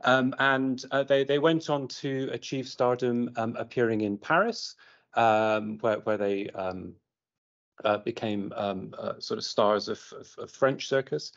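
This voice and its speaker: A British man giving an informal academic presentation, speaking haltingly and often repeating his words. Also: medium pitch. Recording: muffled lo-fi online meeting audio.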